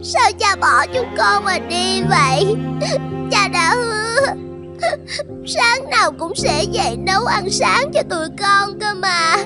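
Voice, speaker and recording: A woman voicing an affectionate, polite young schoolgirl in a cartoon, with a childlike, expressive delivery. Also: very high-pitched, takes it slowly, exaggerated voice. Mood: grieving. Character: affectionate young schoolgirl who adores her father. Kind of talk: cartoon dubbing. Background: music.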